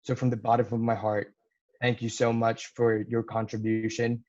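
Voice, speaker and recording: A man's voice: mid-range voice, monotone, normal speed, darkish tone. A young man from Dubai, a university student giving an earnest thank-you speech to donors over a video call. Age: young adult. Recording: dull lo-fi video call audio.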